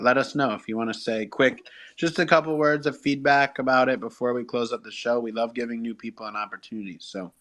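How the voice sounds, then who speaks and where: A man's voice: medium pitch, a bit bright. A man hosts a casual live audio show in a mid-range voice. He is upbeat and encouraging, with plenty of slang and a promotional, rallying way of talking to listeners. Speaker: show host. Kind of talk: upbeat podcast and live audio room hosting.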